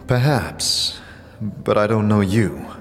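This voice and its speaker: Slow, low-voiced scarred adventurer played by a man. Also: lively intonation, big-room sound. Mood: hesitant, sympathetic. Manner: hesitant, measured. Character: scarred adventurer man, hesitant, gently sympathetic. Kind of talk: audio drama acting. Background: music.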